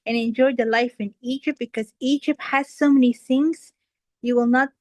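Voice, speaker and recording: A woman's voice: high voice, fairly even intonation, moderate pace, no room sound. A young woman from Kuwait giving an earnest impromptu speech that addresses the audience directly. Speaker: impromptu speaker. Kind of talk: impromptu table topics speech.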